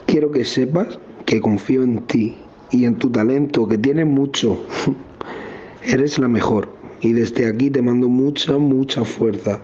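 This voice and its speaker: Male actor as tender supportive loved one, soft dark timbre, medium pitch, intimate message, noisy muffled sound. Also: close to an everyday voice. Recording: dull recording with background noise.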